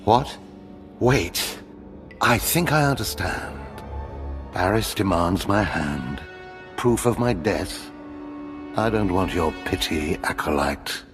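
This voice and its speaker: An actor playing a proud, resigned Sith overseer in a video game, speaking with solemn, deliberate drama. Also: male voice, low voice, lively intonation. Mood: weary pride. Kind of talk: video game cutscene voice acting. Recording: loud music under the voice.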